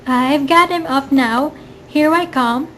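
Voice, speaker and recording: A woman's voice: sits high, slow pace, a bit bright. A woman playing a timid, trusting woman in an amateur radio drama, anxious, hesitant and pleading. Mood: fearful, reluctant. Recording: faint background noise, amateur recording.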